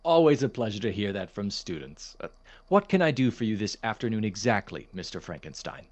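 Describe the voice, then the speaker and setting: A stuffy polite college professor, kinda condescending, voice goes up and down a lot, audio drama lecture style. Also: male voice, lowish voice, sing-song. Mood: courteous. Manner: formal, measured, polite, gently condescending. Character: courteous, dismissive male chemistry professor, formal and patronizing. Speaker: substitute lecturer.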